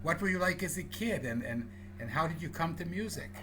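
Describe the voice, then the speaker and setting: A man's voice: on the high side, expressive, fairly quick, pushed and bright, slight background noise. A man hosting an interview, playful and teasing, stumbling over repeated words. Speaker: interview host. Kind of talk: conversational interview.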